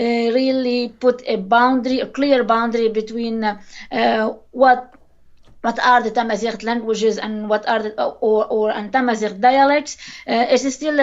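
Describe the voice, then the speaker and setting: A woman, a linguist speaking as a guest in a podcast interview, hesitant and halting as she searches for words. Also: on the high side, fairly even intonation. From Tunisia. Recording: dull lo-fi recording.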